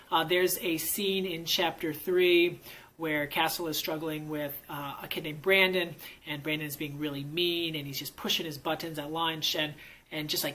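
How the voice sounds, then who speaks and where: Eager, bright-voiced man teacher vlogging. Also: on the high side, poor audio. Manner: enthusiastic, rambling with many fillers. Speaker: fifth grade teacher. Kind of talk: casual vlog chat.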